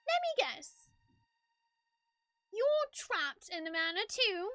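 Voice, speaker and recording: Brash young knife-wielding thief girl played by a woman for a game; growling threats, wildly swooping pitch. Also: very animated, takes it slowly, pushed and bright, no room sound, faint music behind, exaggerated voice. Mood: prying.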